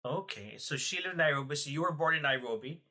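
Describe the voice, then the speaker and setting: A man's voice: on the high side, lively intonation, fast talker, sharp tone. A man hosting a podcast, interviewing a relative in an encouraging way with follow-up questions. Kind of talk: casual family interview.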